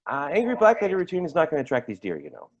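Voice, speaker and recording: A man's voice: fairly high pitch, wildly swooping pitch, very fast, lo-fi sound. An actor in a staged play reading, playing a tactless, defensive man who stammers and repeats himself. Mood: defensive, needling. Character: tactless, defensive man, rambling and awkward, named Timothy.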